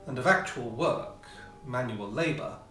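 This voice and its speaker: A man reading a classic ghost story as scripted narration, with measured, theatrical phrasing and pauses. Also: mid-range voice, animated, pushed and bright, soft background music. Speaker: audiobook reader, amateur player. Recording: roomy echo, distant mic, faint noise.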